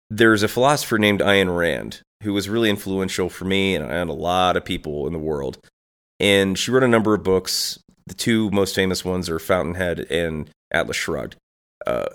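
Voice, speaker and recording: Podcast host, male, congested, deep smooth voice, rambling reflective solo talk. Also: fairly bright tone. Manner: rambling, thinking aloud with restarts and asides. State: congested from allergies.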